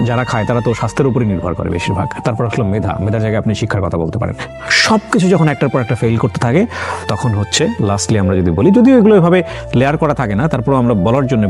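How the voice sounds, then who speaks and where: A man with a fairly low voice hosts a life-story interview. He reflects and moralizes at length, slipping English words into his sentences. Steady background music plays under his talk. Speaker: host.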